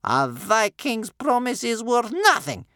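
A man voicing a bitter, sceptical old grandmother in a storybook, stern and sarcastic, with a slow, spitting delivery. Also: sits high, very animated, a bit bright, dry recording, studio quality, voice pushed up, heavily acted. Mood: bitter distrust.

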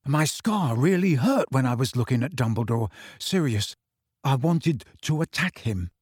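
A man voicing an anxious teenage boy who speaks hushed and shaky, barely above a whisper. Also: mid-range voice, expressive, unhurried, husky, no room sound, studio quality. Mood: frightened.

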